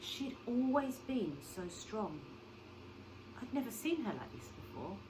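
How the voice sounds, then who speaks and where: A woman teacher reading a storybook aloud expressively to children, pausing to explain and ask simple questions. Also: on the high side, very animated, background noise.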